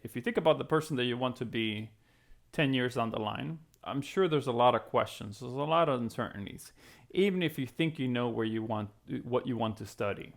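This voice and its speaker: Creaky-voiced man explaining to students. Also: medium pitch. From Venezuela (Caracas). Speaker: physics professor and program co-founder. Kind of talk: explanatory talk to students, conversational.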